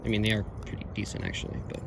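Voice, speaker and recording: A man with a fairly low voice talks through gear in an informal, explanatory review. He speaks haltingly and technically, piecing it together unscripted. Clear traffic and outdoor noise sits behind him. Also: monotone. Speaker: radio equipment reviewer.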